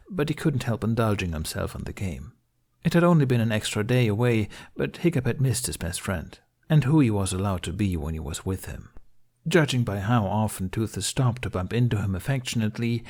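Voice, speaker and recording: A man reading scripted fiction aloud as an audiobook narrator, in a measured storytelling manner. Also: fairly low pitch, darkish tone.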